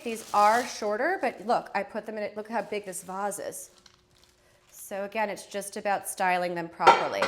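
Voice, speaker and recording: A woman hosting a live shopping stream, chatting casually while unboxing, her voice nasal and stuffy from a head cold, joking and teasing herself as she goes. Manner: chatty, jokey, self-teasing, lively swings in pitch and loudness.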